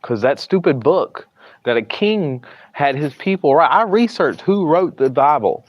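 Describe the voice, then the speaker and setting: A man's voice: a little high, very animated, measured pace, no room sound. A man with a thick Southern US accent, rambling through a casual livestream from a hospital room and often restarting or trailing off into mumbles. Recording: dry, slightly dull livestream audio.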